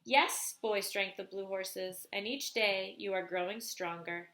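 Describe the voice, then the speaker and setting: A woman's voice: mid-range voice, measured pace, sharp tone. Woman reading as a patient, wise old grandfather. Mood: reassuring. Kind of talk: storybook read aloud.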